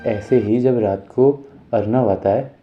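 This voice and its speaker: A man narrating an animated moral story in a scripted storytelling style. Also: on the low side, a little slow, dull audio. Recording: music under the voice.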